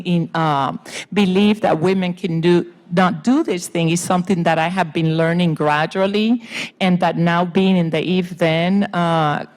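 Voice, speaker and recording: Middle-aged Puerto Rican woman, Spanish accent, low-leaning voice, earnest panelist with pauses. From Puerto Rico. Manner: earnest, storytelling, with frequent hesitations. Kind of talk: panel discussion.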